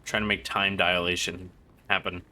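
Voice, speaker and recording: A man's voice: sits low, level tone, normal speed, sharp tone. A man casually commentating on a game as he streams, muttering profane, exasperated asides. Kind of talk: casual live gameplay commentary.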